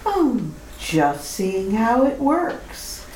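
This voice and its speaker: A woman's voice: on the high side, very animated, drawn-out words, darkish tone, exaggerated voice. A woman playing a coy, sugary and coolly murderous bride in a retro radio mystery. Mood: sugary, menacing. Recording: roomy, distant, slightly noisy recording.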